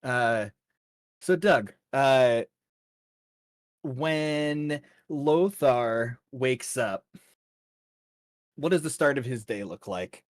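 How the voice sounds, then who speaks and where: A man's voice: mid-range voice, very slow, long pauses, dry recording. A man narrating a tabletop fantasy game as dungeon master, informal with his players.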